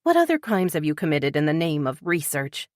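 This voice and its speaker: A woman's voice: deep voice, big pitch swings, fairly quick, no room sound, clean studio sound. Lady doing a suspicious woman writer, blunt and pushy, voice swings up and down. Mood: demanding, tense. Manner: sharp and demanding.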